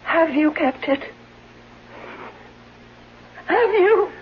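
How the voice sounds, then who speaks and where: An actress playing a suspicious, bedridden wife, weak and breathy, accusing and pleading in a 1970s radio drama. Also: female voice, very high voice, expressive, very slow, a little hiss or hum, heavily acted. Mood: desperate pleading. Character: ailing bedridden suspicious wife, bitter and desperate. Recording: dull vintage radio broadcast recording.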